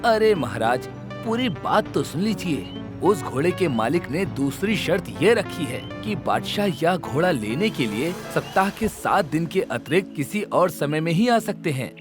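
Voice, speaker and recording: A man voicing a clever, witty royal courtier in an animated story, playful and persuasive. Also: a little high, measured pace. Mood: teasing. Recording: background music under voice.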